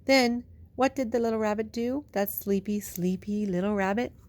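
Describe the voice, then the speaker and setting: Woman, medium pitch, children's story reader, expressive, drawn-out phrasing, bedtime read-aloud. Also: slight background noise.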